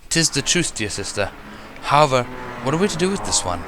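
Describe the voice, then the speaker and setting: A man's voice: mid-range voice, very animated, fairly quick, music in the background, pitched above the performer's own voice, natural voice. A man voicing a female alicorn princess who speaks in stern, formal, archaic English. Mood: questioning. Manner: formal archaic speech with thee and thou.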